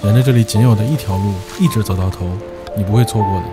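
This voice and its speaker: Fast low male voice-over. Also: over loud music. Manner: calm, descriptive, slightly poetic. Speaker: travel vlogger narrator. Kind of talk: reflective voice-over narration.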